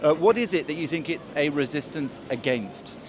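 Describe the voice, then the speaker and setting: A man reporting from an outdoor street rally and interviewing attendees in a measured, inquisitive way. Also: a little high, animated. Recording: busy crowd noise outdoors. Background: crowd.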